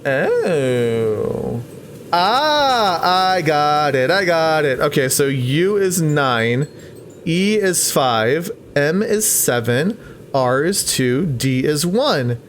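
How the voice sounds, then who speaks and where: A man's voice: on the high side, sing-song, extremely slow, a little hiss or hum. A man hosting a let's play, chatting to the game characters and reading game text aloud.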